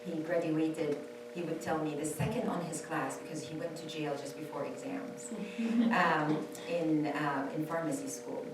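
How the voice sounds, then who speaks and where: Low-voiced woman, echoey hall. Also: fairly even intonation, measured pace, hardly any pauses, far from the mic, background noise. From Saudi Arabia. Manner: earnest, repeats phrases for stress. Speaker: panelist. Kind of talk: personal testimony at a panel. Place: temple hall.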